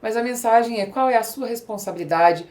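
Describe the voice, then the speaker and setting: A woman's voice: fairly high pitch, fairly quick, a little mellow. Brazilian woman hosting, roomy echo. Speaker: podcast host. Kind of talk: conversational interview hosting. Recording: roomy, echoey sound.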